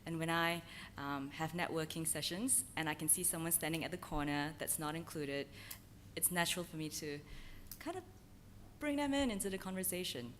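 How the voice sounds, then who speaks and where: A woman's voice: sits low, expressive, normal speed, a bit bright, slight background noise. A woman from Malaysia with a slight American accent, giving a candid, self-deprecating, unscripted talk on a conference panel. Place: conference hall.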